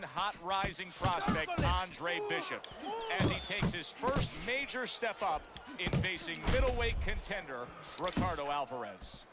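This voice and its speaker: A man playing an excited ringside boxing announcer with an American accent and an emphatic, polished broadcast delivery. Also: high voice. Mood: polished, building. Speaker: boxing play-by-play commentator. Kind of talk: live sports TV commentary. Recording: loud arena noise behind. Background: noisy boxing match sounds.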